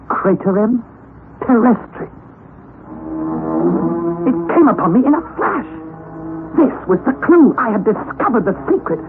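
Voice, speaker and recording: A narrow, faintly noisy vintage radio recording carries an earnest young man, a nephew recounting an adventure. His voice is fairly high and very animated. The delivery is dramatic storytelling from old-time radio drama.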